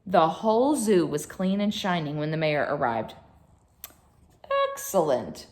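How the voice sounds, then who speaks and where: A woman's voice: lowish voice, lively intonation, normal speed, darkish tone. A woman reading a picture book aloud to children as a storyteller, expressively, with chatty asides to her listeners.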